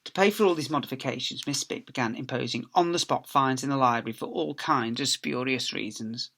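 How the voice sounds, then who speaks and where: A man reads a children's story aloud in a bright, punchy voice. He is a teacher performing for pupils, leaning theatrically into the key words. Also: medium pitch, brisk, vocal fry. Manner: theatrical emphasis with acted sound effects. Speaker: teacher reading aloud to schoolchildren. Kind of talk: animated storytelling.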